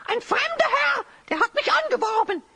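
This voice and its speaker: Shrill, creaky, cackling hyena spy. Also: sing-song, a little slow, pushed and bright, heavily acted. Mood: sly, boastful. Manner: cackling, speaking in theatrical rhyme. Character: sly rhyming hyena spy, cackling small-town rabble-rouser. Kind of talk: German children's audio drama acting.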